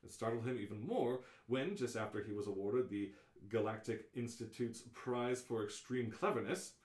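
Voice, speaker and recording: Male narrator, mid-pitched, informal radio-play reading, roomy echo. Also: normal speed, a little mellow. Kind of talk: scripted narration, amateur live radio-play read-through.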